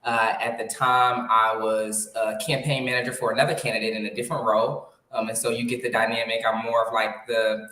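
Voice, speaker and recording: A man in his thirties, a guest telling a story in a relaxed, chatty way. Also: on the low side, fairly quick, few pauses. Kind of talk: casual interview storytelling. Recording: echoey room.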